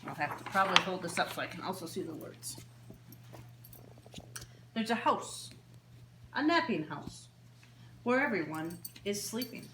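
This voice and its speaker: A woman's voice: lowish voice, lively intonation. Woman reading picture books in an echoey room. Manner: simple, child-directed talk with deliberate, repeated phrasing. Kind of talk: animated read-aloud story time for young children. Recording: roomy echo, faint background noise.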